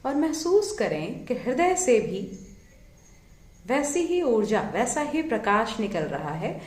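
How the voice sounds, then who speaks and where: Lady doing guided meditation, pitch rises and falls a lot, long pauses, echoey sound. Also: very animated, darkish tone. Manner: measured, soothing, with drawn-out pauses between phrases. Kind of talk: guided meditation, slow spoken instruction.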